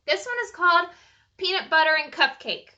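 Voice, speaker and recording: A woman reading a bedtime story aloud to children in an animated, chatty way, pausing to ask the listeners questions. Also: very high voice, dull audio.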